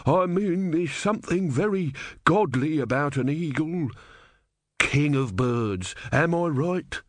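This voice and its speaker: A man's voice: fairly high pitch, expressive, takes it slowly, no echo, clean studio sound, voice pushed up. A man voicing an elderly, long-winded know-it-all who talks in a boring, unstoppable drone. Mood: pedantic.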